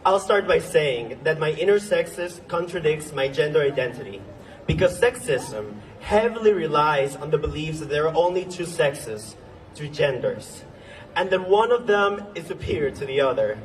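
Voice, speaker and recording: A young man from Venezuela gives an earnest rally speech outdoors, sometimes halting with ums and restarts. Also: on the high side, animated. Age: young adult. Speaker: speaker at a protest march. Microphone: phone recording from the crowd. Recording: distant phone recording outdoors.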